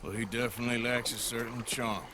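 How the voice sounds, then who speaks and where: An actor playing a gruff, wry American outlaw gunman in a western video game, speaking in a dry, understated way. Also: mid-range voice, little pitch movement, quick pace, background noise, close to an everyday voice. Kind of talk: cinematic video game acting.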